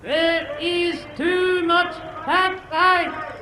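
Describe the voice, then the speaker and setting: Extremely high, drawn-out Norwegian naval officer. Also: male voice, steady tone, pushed and bright, exaggerated voice. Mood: cautious, reluctant. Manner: calling across water. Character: cautious, reluctant male Norwegian torpedo boat officer. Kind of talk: war radio drama acting. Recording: echoey, with clear background noise.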